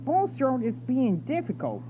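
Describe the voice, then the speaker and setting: Naughty little storybook trucks, played by a man, whine and tease in a very high, squeaky pitch. The sound is phone-like and thin, over loud noise. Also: wildly swooping pitch, measured pace, heavily acted. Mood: complaining. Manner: whining, teasing, squealing complaints. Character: naughty, giggling, cheeky troublesome railway trucks.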